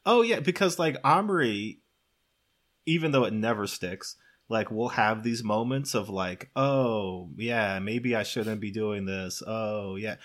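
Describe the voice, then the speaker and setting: A man casually breaking down a film in comedic podcast conversation, animated and often acting out quotes. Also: lowish voice, slow pace.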